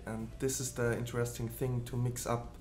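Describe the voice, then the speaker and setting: A man from Switzerland or Austria, a jazz musician, explaining in a relaxed way in an interview and often trailing off softly. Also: mid-range voice, moderate pace. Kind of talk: casual interview.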